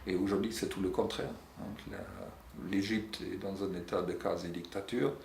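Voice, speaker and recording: A middle-aged man answering interview questions earnestly, often pausing on euh and restarting his sentences. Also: low voice, fairly even intonation, vocal fry, a little mellow. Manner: earnest, searching for words with frequent euh and restarts. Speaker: secretary general of a European civil society network. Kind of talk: interview answers on European social policy. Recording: echoey room with faint background noise.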